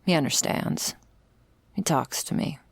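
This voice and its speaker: A woman playing a jaded, sardonic servant of vampires in an intimate ASMR roleplay, husky and deadpan, close to the microphone. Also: unhurried, no echo, close to an everyday voice. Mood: wry. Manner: dry, deadpan, sarcastic, foul-mouthed. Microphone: close, dry microphone.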